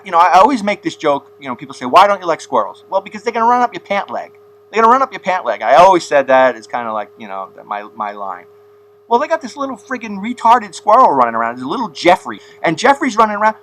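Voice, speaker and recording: A man's voice: high-pitched, sing-song, fast talker, fairly bright tone, poor audio. A man hosting a casual, rambling interview, telling stories and laughing at his own jokes. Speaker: podcast host.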